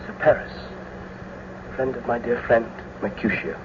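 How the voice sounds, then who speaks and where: A man playing a grave, restrained young nobleman in a classical tragedy, formal and measured. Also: mid-range voice, natural voice. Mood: grave, conciliatory. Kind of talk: classical tragedy radio acting. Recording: muffled, noisy old radio recording.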